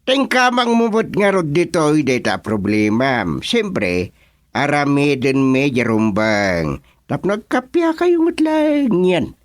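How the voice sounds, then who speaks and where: A performer playing a village chairman who placates quarrelling neighbours with hemming, drawn-out replies in a comedic radio drama. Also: mid-range voice, big pitch swings, dry recording. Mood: placating.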